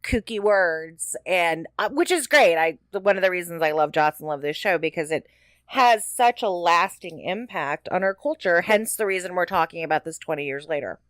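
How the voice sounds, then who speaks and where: A woman's voice: on the low side, expressive, normal speed, a bit bright, no echo. A woman talking casually and enthusiastically as a co-host on a fan podcast about television. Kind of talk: casual panel discussion of a TV show.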